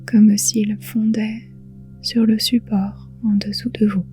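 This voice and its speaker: Soft breathy lady doing yoga nidra, super slow and mellow, faint music behind. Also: mid-range voice, flat delivery. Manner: soothing, hushed, breathy delivery with long pauses. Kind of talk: guided relaxation meditation.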